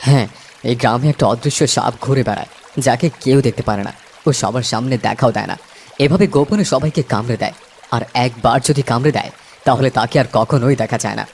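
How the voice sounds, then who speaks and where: A man voicing an alarmed, fast-talking village father. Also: mid-range voice, creaky, a little mellow, a little hiss or hum, lightly acted. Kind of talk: cartoon voice acting.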